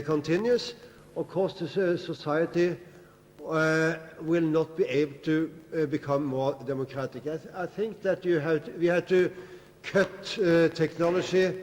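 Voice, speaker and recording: Male panelist arguing in a reverberant hall. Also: a little high. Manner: argumentative, builds a reasoned case. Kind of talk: panel debate answer. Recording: hall reverb at a festival debate.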